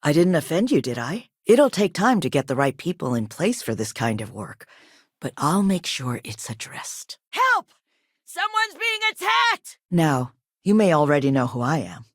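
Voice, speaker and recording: A woman acting as a poised, quietly assertive company chief executive in a video game, polished, measured and courteous but firm. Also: low voice, huge pitch swings, dry recording, studio quality, exaggerated voice. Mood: alarmed shouting.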